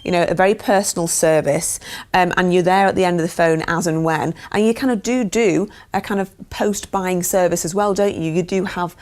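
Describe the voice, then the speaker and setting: A woman interviewing a business owner, asking leading questions and adding soft murmurs of agreement. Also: on the low side, steady tone, brisk.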